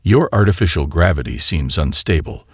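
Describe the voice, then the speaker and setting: A man's voice: deep voice, lively intonation, normal speed, breathy edge, dry recording, studio quality. A man voicing a suspicious alien science officer with a stiff, accusing manner in a story reading.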